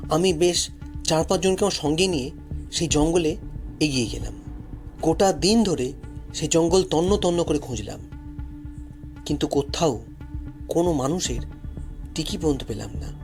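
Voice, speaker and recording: A man narrating a story dramatically in the first person, as a storyteller. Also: fairly high pitch.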